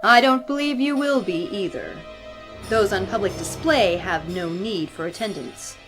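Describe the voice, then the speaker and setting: A woman performs as a stern female religious leader, zealous and formal. She preaches and commands in audio drama style, with music clearly playing behind her. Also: mid-range voice, unhurried, lightly acted. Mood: stern, accusing.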